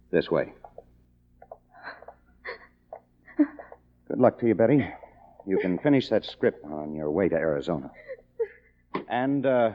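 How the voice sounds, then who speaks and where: A man's voice: medium pitch, lightly acted. An actor playing a cynical, proud American kept man, a struggling writer, in sardonic, clipped 1950s radio noir style. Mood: cold, resolved. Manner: sardonic, hard-boiled, clipped. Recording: dull vintage radio drama recording.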